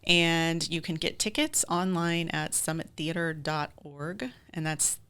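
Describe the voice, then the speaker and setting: A woman with an American accent and a low, bright voice talks as an interview guest. Her delivery is clear, with some gravelly creak, and she explains things in an informal, chatty way. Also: fairly even intonation, unhurried. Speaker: guest, theater director. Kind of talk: casual interview chat.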